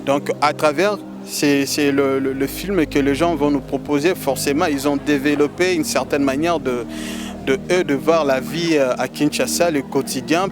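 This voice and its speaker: A man's voice: a little high, fairly even intonation, moderate pace, over music. A Congolese man from DR Congo, a festival organizer answering interview questions in a hesitant way, with many filler sounds and repeated words. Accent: Congolese French.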